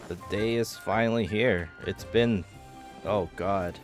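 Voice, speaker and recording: A man's voice: fairly low pitch, takes it slowly, faint music behind. A man talking casually as he streams a video game, commenting live on what he is doing.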